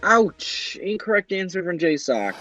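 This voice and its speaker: A man's voice: high-pitched, big pitch swings, measured pace, sharp tone, lo-fi sound, soft background music. A young man hosting a fan-made quiz show, reading out questions and answer choices in an upbeat quizmaster manner.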